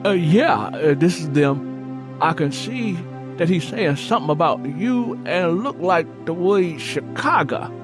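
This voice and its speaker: A man plays a distracted father in an audiobook story. His voice jumps up higher than the narration, and he answers in a hesitant, grudging way. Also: animated, a little slow, over music. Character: distracted, reluctant father absorbed in his radio show. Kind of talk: audiobook character acting.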